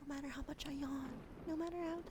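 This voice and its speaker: A sleepy woman streaming ASMR with a sore throat, switching between soft whispers and casual chat about games into a close microphone. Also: high voice, fairly even intonation, quick pace. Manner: soft whispering between chatty gaming talk. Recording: noisy stream audio.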